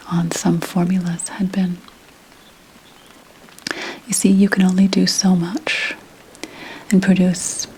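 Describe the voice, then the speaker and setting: A woman playing a proud, secretive 17th-century witch healer, whispering slowly and formally in an ASMR roleplay. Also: mid-range voice, slight background noise, lightly acted, poor audio. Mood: dry disapproval. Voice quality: breathy unvoiced whisper. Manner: deliberate, hushed, archly formal phrasing.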